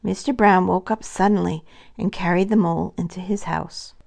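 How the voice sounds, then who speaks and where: A woman's voice: lowish voice, steady tone, moderate pace, gravelly, a little mellow. A woman narrating a scripted children's story as a storybook reader.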